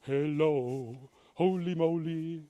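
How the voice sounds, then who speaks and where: A middle-aged man from Bavaria with a German accent, chatting casually while walking outdoors and talking to viewers in a live video, moving between German and halting English. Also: fairly high pitch, mellow. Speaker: livestream vlogger. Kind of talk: casual live walk-and-talk. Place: outdoors in a town at night.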